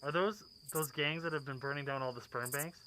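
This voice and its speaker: A woman playing a nervous, evasive young man in an audio drama, speaking in halting, terse phrases. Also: deep voice, pushed and bright. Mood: guarded, uneasy. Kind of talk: amateur audio drama acting.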